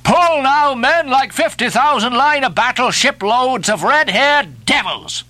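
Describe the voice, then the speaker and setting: A man's voice: very high-pitched, lively intonation, measured pace, pushed and bright, dry recording, pitched above the performer's own voice, exaggerated voice. A man voicing a boisterous whaling mate from a storybook, goading his rowers with jovial fury in bellowed, cajoling exclamations. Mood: indignant rallying. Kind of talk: storybook character voice in a novel reading.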